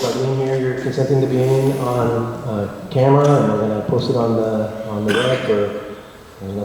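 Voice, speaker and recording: A man hosting a community forum, talking in a low voice with many fillers and restarts, using a handheld mic in a large wood-paneled hall. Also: darkish tone, a little hiss or hum. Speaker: forum host. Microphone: handheld mic, heard from a far camera. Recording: echoey hall from far off.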